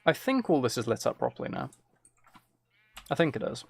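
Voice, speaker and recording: Man, mid-range pitch, creaky fry, casual gaming commentary, muttered short phrases, thinking aloud. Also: sing-song, brisk, darkish tone. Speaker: streamer playing a video game.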